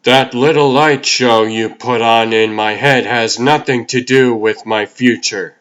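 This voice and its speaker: A man's voice: mid-range voice, fairly even intonation, unhurried, fairly bright tone, lightly acted, rough recording. A man playing a defiant, plainspoken superhero in a comic dub, with a dry, firm, unimpressed delivery. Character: defiant, wary adult superhero, plainspoken and stern.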